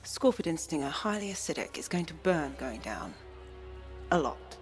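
A woman voicing a dry, knowing mercenary ally in a video game, with deadpan delivery. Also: low-pitched, moderate pace, faint music behind. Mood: wry.

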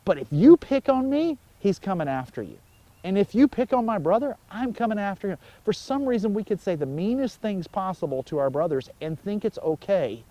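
A man in his forties, a pastor giving an informal, conversational sermon outdoors, telling stories with emphatic pauses. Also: sits high, big pitch swings, warm tone, no echo, poor audio. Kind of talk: informal sermon, explaining scripture. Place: outdoors by a wooded pond.

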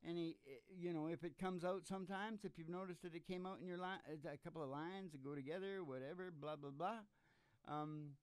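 An older man hosting in casual banter, rambling and halting, often trailing off, speaking close into a stage microphone. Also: fairly high pitch, smooth, no room sound. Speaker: podcast host and country singer-songwriter. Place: small curtained studio stage. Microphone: stand microphone up close.

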